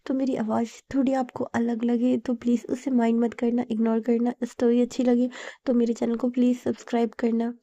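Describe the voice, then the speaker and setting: Hoarse young woman storyteller. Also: fairly high pitch, level tone, a little fast, no echo, dull audio. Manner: soft, often whispery narration. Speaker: storyteller, fan fiction author. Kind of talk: storybook narration.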